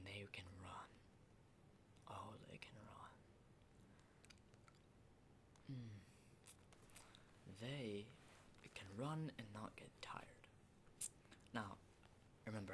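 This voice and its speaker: A man playing a battle-hardened, rattled survivor of a zombie apocalypse in an ASMR roleplay, rambling and swearing in hushed, halting speech. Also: on the low side, noisy background. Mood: uneasy, explanatory.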